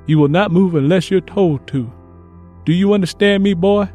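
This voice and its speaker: A man's voice: high-pitched, moderate pace, warm tone, faint music behind, voice pushed up. Stern, commanding woman clerk, voiced by a man. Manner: clipped, commanding, demeaning. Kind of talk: dramatised audiobook dialogue.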